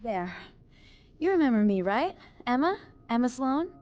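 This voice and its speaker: A woman's voice: high-pitched, very animated, normal speed, dull audio. An actress playing a chatty, eccentric female new-age mystic with a breezy, airy way of talking in a game cutscene. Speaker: motel resident, self-declared psychic.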